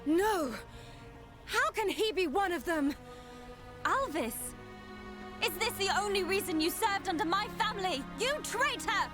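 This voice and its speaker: A woman's voice: very high voice, animated, a little slow, pauses often. An actress playing an impassioned young noblewoman warrior in a video game, urgent and emphatic. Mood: anguished anger. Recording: music over the voice.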